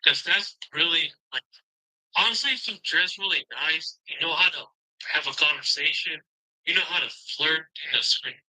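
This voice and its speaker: A young man giving a halting testimonial about a coaching course, filling gaps with "uh" and "you know". His voice is very bright and sharp and sits a little high. He sounds plain and unpolished. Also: low-quality recording. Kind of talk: casual testimonial.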